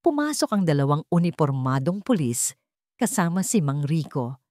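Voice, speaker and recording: A woman narrates a dramatic scripted story in a fairly low voice. The recording is close and dry, with very little room sound. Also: sing-song, moderate pace, darkish tone, no room sound.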